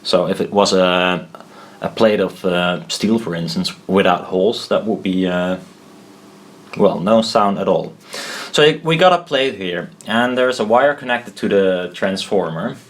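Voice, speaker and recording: Male hobbyist explainer, lowish voice, very slow and halting, informal and unscripted, thinking out loud. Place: indoors at a desk.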